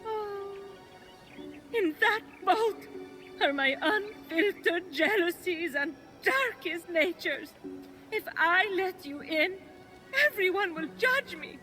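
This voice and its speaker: An actress playing an emotional, theatrical goddess of love in a video game. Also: female voice, very high-pitched, expressive, slow, pushed and bright, soft background music, exaggerated voice. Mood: hurt, tearful. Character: anguished, dramatic goddess of love.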